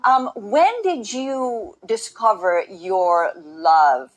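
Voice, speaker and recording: A woman's voice: medium pitch, lively intonation, extremely slow. A woman conducting a conversational TV interview, effusive and repeating her words eagerly.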